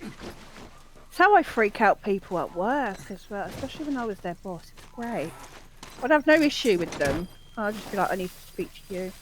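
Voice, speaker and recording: A woman with a Southern English accent chatting casually in a deadpan way. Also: mid-range voice, normal speed. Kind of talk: casual chat. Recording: noisy stream audio.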